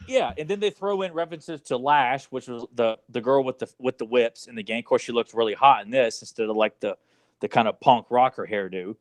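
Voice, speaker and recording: A man's voice: mid-range voice, fairly quick. A man co-hosting a podcast, talking casually about movies in a rambling way full of asides. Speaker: podcast co-host.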